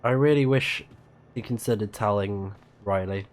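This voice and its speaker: A man's voice: lowish voice, normal speed. Casual man commenting as he plays. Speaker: streamer playing a story game. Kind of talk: casual let's play commentary.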